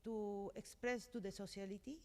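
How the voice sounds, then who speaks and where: A woman's voice: mid-range voice, fairly even intonation, mellow. Colombian woman speaking in a hall. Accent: Colombian Spanish. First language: Spanish. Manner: earnest, searching for English words, many pauses. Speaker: panelist. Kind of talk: conference panel talk. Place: conference hall. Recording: long hall reverb.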